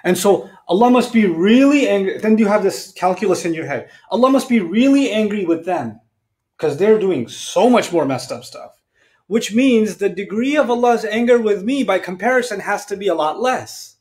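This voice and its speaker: High-pitched man preaching conversationally. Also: moderate pace. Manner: animated, colloquial, rhetorical questions. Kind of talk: conversational sermon with acted-out asides. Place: indoors at a lectern. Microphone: lapel mic.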